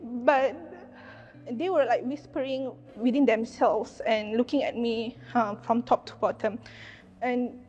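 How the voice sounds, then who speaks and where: A young woman shares personal stories on a discussion panel. Her voice sits high and she speaks with earnest sincerity. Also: fairly even intonation, unhurried, a little mellow, muffled recording, soft background music. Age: 20s. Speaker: lecturer.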